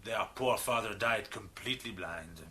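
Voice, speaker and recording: An actor playing a big, expansive, reformed French criminal in a radio drama, with a French accent and an effusive, theatrical manner. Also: male voice, lowish voice, normal speed, bright, forceful tone, a little hiss or hum. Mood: chatty, animated. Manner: effusive, theatrical, with hesitant asides.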